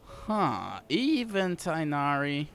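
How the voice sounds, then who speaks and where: A man's voice: fairly high pitch, huge pitch swings, extremely slow, gravelly, fairly bright tone, slight background noise. A man giving casual, chatty playthrough commentary as a gaming host, with playful asides.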